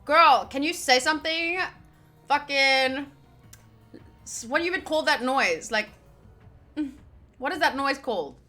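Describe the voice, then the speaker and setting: A woman streaming a visual novel playthrough, reading the text aloud with playful, expressive, casual reactions and some swearing. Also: sits high, a little slow, long pauses, faint music behind. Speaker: game streamer.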